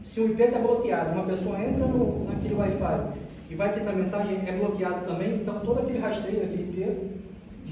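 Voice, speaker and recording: A Brazilian man giving an academic research presentation as a student, haltingly, often repeating and restarting phrases. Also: sits high, warm tone. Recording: distant mic, very echoey room, faint noise.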